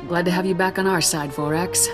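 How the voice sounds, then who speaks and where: An actress playing a dutiful young army lieutenant in a video game, firm, earnest and measured. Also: female voice, lowish voice, fairly even intonation, roomy sound, lightly acted. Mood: composed. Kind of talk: scripted video game acting. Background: music.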